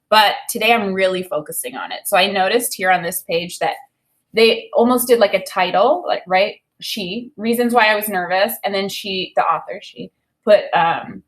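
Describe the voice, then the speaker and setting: A woman with a medium-pitched voice reads to students as their teacher. She delivers a children's story expressively and talks through her thinking in an informal, explanatory way. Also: a bit bright. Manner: informal, explanatory, pausing to comment. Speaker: teacher reading a children's novel aloud. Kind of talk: expressive read-aloud with think-aloud commentary.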